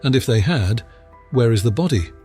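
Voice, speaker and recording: A man acts out a confident audiobook police inspector in a middle-pitched voice. He is blunt and matter-of-fact, with everyday colloquial phrasing. Light music runs underneath. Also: expressive, normal speed, warm tone, close to an everyday voice. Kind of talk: acted audiobook dialogue.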